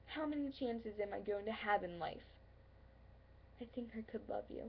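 Woman acting a high, creaky, lovelorn young woman. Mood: hurt, confronting, then defiant. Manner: earnest, pleading, halting with pauses. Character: hurt, insecure, lovelorn young woman confronting her friend. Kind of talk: dramatic stage monologue. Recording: dull lo-fi, faint background noise.

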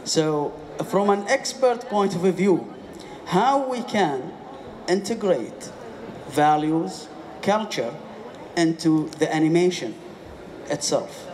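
Slow, high-voiced male moderator. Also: expressive, a little mellow, a little hiss or hum. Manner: jokey, laughs between questions. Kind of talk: moderating a panel. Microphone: handheld mic.

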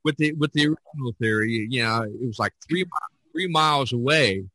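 A man hosting a casual podcast chat, his voice bright and forceful as he tells things with animated emphasis and frequent restarts. The sound is dry and close, with no room around it. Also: mid-range voice, fairly quick, no echo. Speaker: podcast host. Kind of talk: casual discussion.

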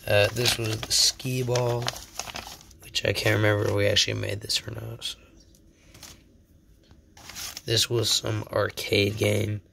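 A man's voice: lowish voice, little pitch movement, a little slow, vocal fry, pauses often, noisy background. A young man hosting a casual show-and-tell vlog at home, a little sick, rambling and self-deprecating, often dropping into whispers. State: sick, voice slightly off. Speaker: channel host.

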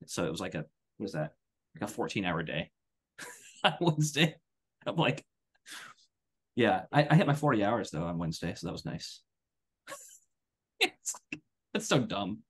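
A man's voice: fairly low pitch, sing-song, unhurried, long pauses, husky. A tired man in his thirties chatting casually on a podcast in a rambling, self-deprecating way. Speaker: podcast co-host. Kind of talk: casual chat. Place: home room, video call. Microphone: headset.

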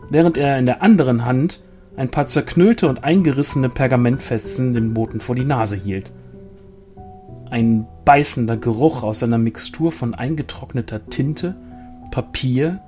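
A man calmly and measuredly reading a fantasy short story aloud as narrator. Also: medium pitch. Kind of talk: scripted storytelling. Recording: soft guitar music behind.

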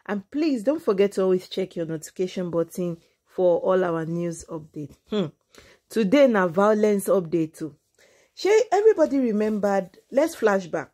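A woman's voice: medium pitch, expressive, normal speed, mellow. A woman with a Nigerian accent giving informal celebrity gossip commentary as an online channel host, mixing English and Yoruba. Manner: switches between English and Yoruba.

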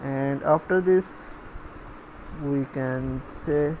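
A man giving a step-by-step screencast programming lesson in a halting way, as if thinking aloud while typing. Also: medium pitch, darkish tone, a little hiss or hum, rough recording. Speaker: programming tutorial instructor. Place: voice-over recorded over screen capture.

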